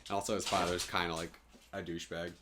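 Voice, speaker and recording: Very low-voiced young man. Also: flat delivery, fast, noisy background. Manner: informal, sweary. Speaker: podcast co-host. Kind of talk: casual chat.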